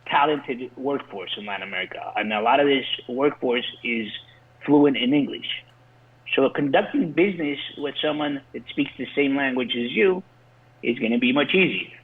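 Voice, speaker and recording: A man who grew up in Colombia, speaking as a guest industry insider, earnest and prone to restarting his words. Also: medium pitch. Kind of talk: explaining in an interview. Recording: phone-line call-in.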